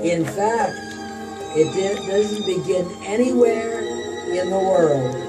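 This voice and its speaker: An actor playing a polished 1940s radio producer who hosts and narrates a drama broadcast. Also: male voice, sits high, slow pace, recorded from a distance, loud music behind the voice. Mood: polished, measured.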